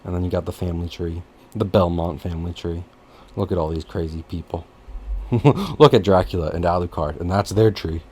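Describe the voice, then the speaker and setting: A man hosting a casual podcast chat, rambling and jokey, with easy swearing and an animated delivery. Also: low-pitched, fairly quick, breathy edge. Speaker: podcast host.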